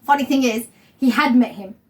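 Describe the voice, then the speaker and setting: A woman's voice: fairly high pitch, fairly quick. A young woman of Somali family hosting a casual couple vlog at home, chatty and rambling, with lots of fillers and laughter. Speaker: vlog host. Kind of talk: casual chat.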